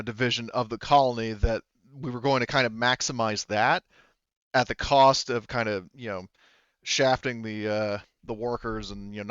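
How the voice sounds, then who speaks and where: A man's voice: mid-range voice, fairly bright tone, clear, resonant tone, no echo, dull audio. A man improvising as game master, explaining things in a rambling way and often rephrasing. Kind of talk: improvised tabletop game narration.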